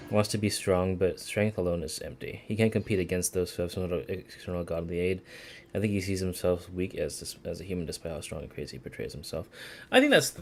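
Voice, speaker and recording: A man livestreaming a game, chatting casually in a rambling, halting way as he searches for words. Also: low voice, darkish tone. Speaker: game streamer. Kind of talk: casual livestream commentary, replying to chat.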